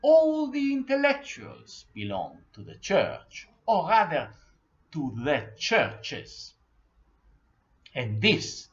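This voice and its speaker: Slow, measured Italian-accented male lecturer. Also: a little high, dull audio. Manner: slow, measured, clears throat often. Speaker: history lecturer. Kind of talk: scripted history lecture.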